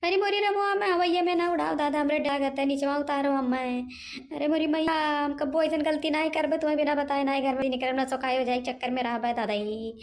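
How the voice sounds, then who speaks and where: Panicky, high-pitched pleading village woman acted by a woman. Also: fairly even intonation, fairly quick. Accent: Awadhi. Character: frightened plump village woman pleading for mercy. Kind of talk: cartoon comedy dialogue.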